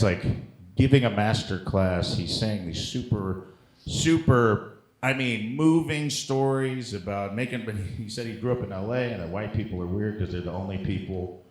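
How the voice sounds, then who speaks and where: A man's voice: lowish voice, darkish tone, roomy sound, low-quality recording. A man in casual comic chat, telling stories in a rambling, joking, profane way. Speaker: comedian guest on a podcast.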